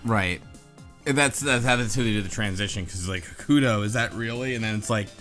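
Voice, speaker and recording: A man's voice: lowish voice, brisk, a bit bright, soft background music. A man co-hosting a podcast, chatting casually about a video game. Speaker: podcast co-host.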